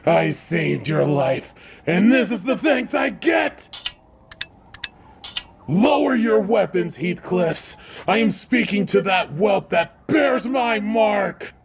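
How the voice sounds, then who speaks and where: A man playing a furious, scornful young werewolf hunter, shouting in a fantasy audio drama. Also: high-pitched, lively intonation, slow pace, heavily acted. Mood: furious, betrayed. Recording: clear background noise and ambience.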